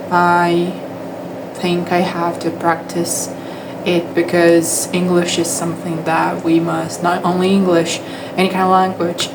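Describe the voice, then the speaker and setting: A young woman with a Brazilian accent speaks English in a lowish, fairly flat voice, starting over often as she gathers her thoughts. Her tone stays level and understated. A steady air-conditioner hum runs underneath her. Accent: Brazilian Portuguese. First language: Portuguese. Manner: hesitant, with frequent restarts and fillers. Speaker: English teacher. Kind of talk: casual talking-to-camera monologue.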